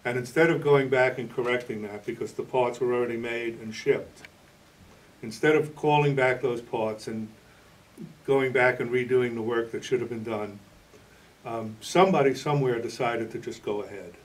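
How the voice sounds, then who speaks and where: Older man, measured, slightly distant mic. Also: medium pitch. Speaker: interview guest, playwright. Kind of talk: television interview, explaining. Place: indoor TV studio, green screen.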